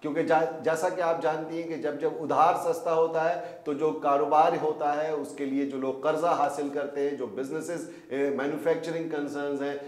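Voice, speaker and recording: A middle-aged man with a Pakistani accent, an economist giving deliberate explanatory analysis in a news interview, mixing in English financial terms. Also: a little high, steady tone, darkish tone. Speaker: economist, studio guest. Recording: roomy, echoing studio sound.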